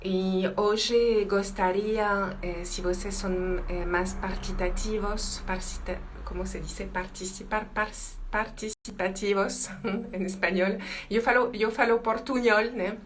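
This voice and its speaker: A woman from France with a French accent, a native French speaker talking live to viewers as a teacher, halting often and slipping Portuguese words into her Spanish. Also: medium pitch, fairly even intonation, a little hiss or hum. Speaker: emotional intelligence teacher hosting a live stream. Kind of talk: live-stream talk to viewers.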